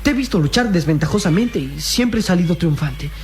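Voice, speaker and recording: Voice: medium pitch, animated, a little fast, darkish tone, slight background noise, natural voice. Performer as plaintive young boy sidekick. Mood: defeated, pleading. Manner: plaintive, respectful, theatrical delivery. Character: young boy sidekick, earnest, loyal, anxious. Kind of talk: radio drama acting.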